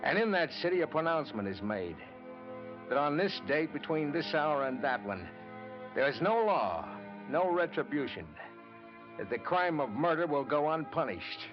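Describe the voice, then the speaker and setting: A brooding, hardboiled American newspaperman in a vintage radio noir. He is bitter and dramatic, with clipped phrasing. The old recording is muffled, with loud music behind the voice. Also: fairly high pitch, very animated, normal speed. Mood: challenging, intense. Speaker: radio drama lead and narrator.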